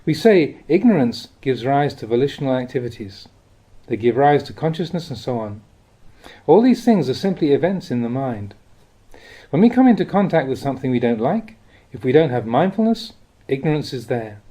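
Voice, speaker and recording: Mellow man reading with lively intonation. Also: mid-range voice, moderate pace. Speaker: reader of Buddhist teachings. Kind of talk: audiobook reading of a spiritual talk.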